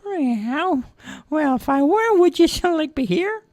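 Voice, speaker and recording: A woman's voice: sits high, lively intonation, takes it slowly, darkish tone, no echo, pitched above the performer's own voice, exaggerated voice. A woman voicing a cool, cheeky female cat who talks in hesitant slang peppered with meows. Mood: cool, chatty.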